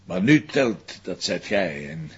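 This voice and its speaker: An actor playing a stubborn, impulsive police commissioner with a very husky voice and a Flemish accent, insistent and animated, in a crime drama. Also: male voice, low voice, takes it slowly, highs cut off, noisy background, heavily acted. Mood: determined. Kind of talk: television crime drama acting.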